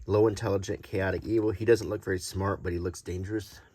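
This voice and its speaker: A man presenting collectible cards in a casual, unscripted show-and-tell, halting and pausing often as he describes things. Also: deep voice, fairly even intonation, darkish tone, dry recording. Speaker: host presenting vintage collectible game cards.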